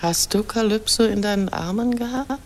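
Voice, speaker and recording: A woman's voice: medium pitch, moderate pace, slight background noise, close to an everyday voice. A woman playing a proud, faithful but hurt queen in a radio play, solemn and insistent. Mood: reproachful. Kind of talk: classic German radio play acting.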